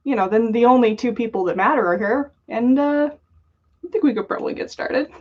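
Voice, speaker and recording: A woman's voice: fairly high pitch, moderate pace. A woman hosting a casual livestream, chatting and joking playfully with her viewers. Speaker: podcast host running a livestream. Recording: dull, lo-fi livestream audio.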